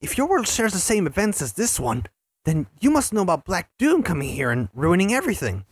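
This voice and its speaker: A performer voicing a cocky, teasing male hedgehog hero with a husky voice in a fan audio drama. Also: medium pitch, wildly swooping pitch, brisk, no room sound, clean studio sound, exaggerated voice. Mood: curious. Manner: brash and teasing.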